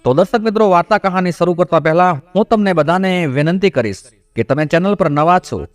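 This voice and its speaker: A man narrates a devotional moral story in a measured, expository way, addressing his viewers directly. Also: sits high, no room sound. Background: background music.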